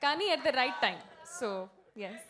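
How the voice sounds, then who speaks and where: A woman answers slowly at a press event. She is halting and casual, moving between English and Telugu. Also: high-pitched, lively intonation, fairly bright tone, slight background noise.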